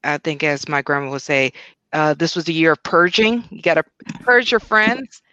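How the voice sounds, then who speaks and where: A woman talking in casual podcast chat, measured and reflective. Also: deep voice, lively intonation, sharp tone, dry recording, dull audio.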